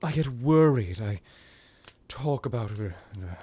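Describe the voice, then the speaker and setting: A man playing a smug, powerful vampire lord in an ASMR roleplay, talking in a halting, boastful, condescending and mock-polite way. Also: medium pitch, expressive, warm tone, breathy edge. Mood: smug, suspicious.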